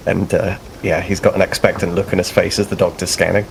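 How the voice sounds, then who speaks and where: A man's voice: deep voice, flat delivery, fast, gravelly, a little hiss or hum, poor audio. A man chatting casually as a player in a tabletop roleplaying game, describing things a little hesitantly.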